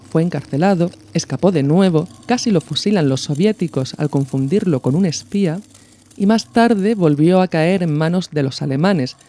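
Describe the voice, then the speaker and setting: A male podcast host narrates from a script in an explanatory way. His voice sits a little high for a man, with a mellow, relaxed tone. The phrasing is measured and educational.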